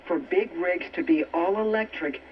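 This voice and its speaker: A man's voice: sits high, normal speed, muffled recording. A man reading a radio news report, played back through a vintage radio set. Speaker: news reader. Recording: distant, noisy vintage radio playback.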